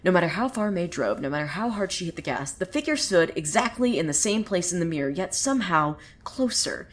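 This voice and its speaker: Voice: medium pitch, fairly quick, natural voice. A woman playing a wry, theatrical narrator of a surreal small town in a scripted audio drama, telling the tale dramatically. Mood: ominous. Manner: theatrical, swinging from hushed to excited. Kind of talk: dramatic scripted storytelling monologue.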